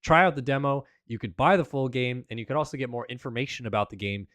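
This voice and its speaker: A man's voice: mid-range voice, fast talker, a bit bright, no echo. Man commentating with a recovering voice. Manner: upbeat announcer, reading sponsors and plugs. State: voice still recovering from loss. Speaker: play-by-play commentator. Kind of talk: esports race commentary.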